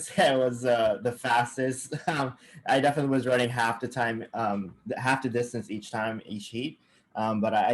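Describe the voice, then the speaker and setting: A young man from Saipan, a cameraman, talking casually as a guest on a video call, halting with many fillers and restarts. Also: medium pitch, low-quality recording.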